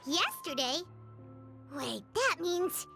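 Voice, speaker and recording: A woman voicing a tiny, excitable, loyal childlike girl companion in a video game, with a squeaky voice. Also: slow, creaky, soft background music, exaggerated voice. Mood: curious, uneasy. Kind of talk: animated video game voice acting.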